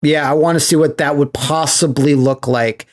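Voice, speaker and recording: Male podcast co-host, slightly high voice, casual, conversational, jokey. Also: fairly even intonation, moderate pace. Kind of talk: casual chat.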